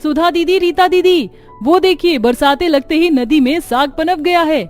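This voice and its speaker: A woman's voice: high-pitched, normal speed, faint music behind, voice pushed up, close to an everyday voice. A woman voicing a spirited young village daughter-in-law in a cartoon story, chatty and outspoken. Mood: excited. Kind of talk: Hindi cartoon story acting.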